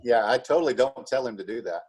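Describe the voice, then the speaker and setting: A man being interviewed as a school drama club director, explaining in a casual, halting way. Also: medium pitch, a little mellow, husky.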